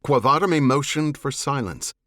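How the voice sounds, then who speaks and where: A man reading dramatic fiction as an audiobook narrator, in a measured, serious manner. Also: mid-range voice, animated, pushed and bright, no room sound, studio quality.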